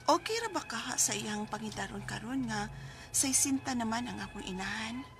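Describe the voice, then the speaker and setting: A woman's voice: on the high side, normal speed, close to an everyday voice. A Filipino woman with a Cebuano accent playing a devoted, anxious daughter in an emotional radio drama. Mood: sorrowful recounting. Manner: emotional, pleading. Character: devoted, anxious grown daughter recounting her mother's illness. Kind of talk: radio drama acting and letter narration. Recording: radio drama with background music.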